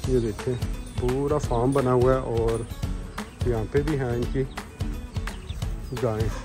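A man's voice: medium pitch, steady tone, unhurried. A man, giving a casual, informal walk-around tour outdoors, often urging viewers to look. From Pakistan. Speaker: vlog host. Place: outdoors, roadside and open farm sheds. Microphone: phone at arm's length. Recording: background music under outdoor phone audio. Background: music.

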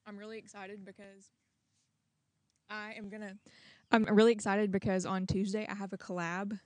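A woman's voice: mid-range voice, level tone, moderate pace, dry recording. A young woman hosting a livestream in casual chat, speaking into a handheld microphone outdoors. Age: young adult. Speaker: livestream host. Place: outdoors in a garden.

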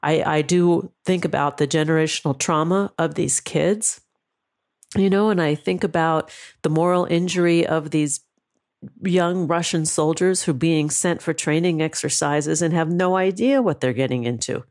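A woman's voice: low voice, moderate pace, darkish tone. A woman hosting an interview show, speaking in an earnest, affirming, reflective way. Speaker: host. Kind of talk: interview talk.

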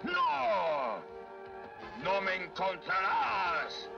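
A man's voice: high-pitched, big pitch swings, extremely slow, creaky, full character voice. A man voicing a mocking, scheming skull-faced sorcerer in a Latin American Spanish cartoon dub, theatrical and taunting. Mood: furious. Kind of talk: 1980s cartoon villain dubbing. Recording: dull old cartoon soundtrack with loud music.

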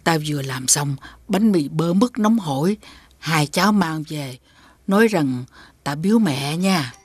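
Cartoon granny, husky and low, doting and kind, dry close sound. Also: female voice, lively intonation, measured pace, dry recording, exaggerated voice. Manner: warm, doting speech. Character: kindly old grandmother.

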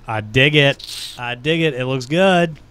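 Guy hosting a podcast, normal mid pitch, casual chat, close mic. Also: unhurried, a bit bright, clean tone. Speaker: podcast host. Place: small studio room. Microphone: close podcast mic with headset.

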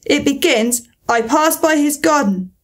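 A woman's voice: high-pitched, unhurried, pitched above the performer's own voice. A woman voicing the Gryphon, a brusque and impatient male creature who gives hasty orders. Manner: hasty, commanding, excitable cries.

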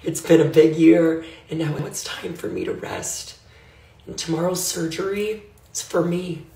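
A woman recording a confessional phone video, hoarse from laryngitis, talking earnestly and emotionally. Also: deep voice, very animated, measured pace, warm tone. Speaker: social media creator. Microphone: phone at arm's length. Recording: echoey room, far from the phone.

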